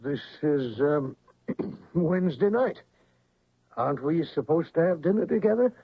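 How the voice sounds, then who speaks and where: An actor playing an anxious middle-aged stepfather in a radio drama, husky and halting as he pleads. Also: fairly high pitch, lively intonation, heavily acted. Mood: uneasy. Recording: muffled old radio broadcast.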